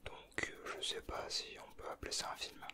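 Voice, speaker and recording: A man whispering softly in a casual ASMR style, with a breathy, hushed voice. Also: moderate pace. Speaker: ASMR video creator. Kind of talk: whispered ASMR, chatting and reading aloud from a booklet.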